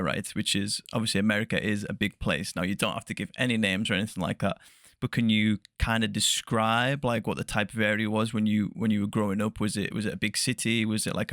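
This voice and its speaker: A man hosting a soft-spoken interview podcast, chatty and laughing often, correcting himself as he goes. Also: fairly low pitch, no echo, studio quality. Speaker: podcast host.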